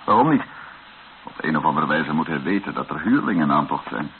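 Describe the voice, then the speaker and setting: An actor playing an earnest, resourceful hero in a war radio drama, speaking deliberately and persuasively. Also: male voice, extremely low pitch, expressive, narrow, tinny audio. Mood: earnest, planning. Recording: band-limited old radio recording, faint noise.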